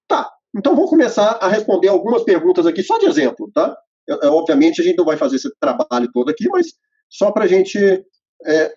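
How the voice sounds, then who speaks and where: A man's voice: high voice, a little mellow, slightly rough. A man presenting a step-by-step method to accountants in an explanatory, instructional way, with frequent fillers and restarts. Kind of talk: instructional conversation. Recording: muffled lo-fi audio.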